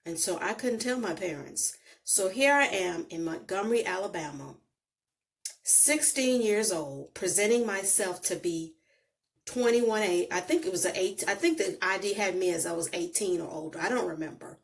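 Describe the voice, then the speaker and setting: A middle-aged woman from the Bahamas with a Bahamian accent, telling her life story to camera slowly and reflectively, recorded on a phone on a holder. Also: mid-range voice, lively intonation, vocal fry. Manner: slow, deliberate, reflective delivery with emphatic pauses.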